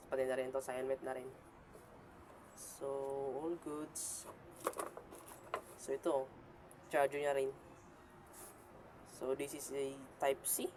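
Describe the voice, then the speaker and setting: A Filipino man talks through a product in a casual unboxing style. His mid-pitched voice moves little in melody and comes haltingly, mixed with English phrases. The amateur recording carries clear background noise. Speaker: vlogger and product reviewer.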